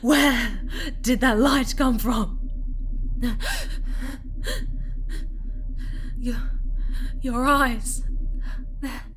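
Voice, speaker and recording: A woman playing an obsessive, possessive dark-winged superhero villainess in an ASMR roleplay, with a breathy, whispery voice and menacingly tender delivery. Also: a little high, steady tone. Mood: panicked, pained. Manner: slow, deliberate, pleading possessiveness. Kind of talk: ASMR roleplay monologue, theatrical voice acting.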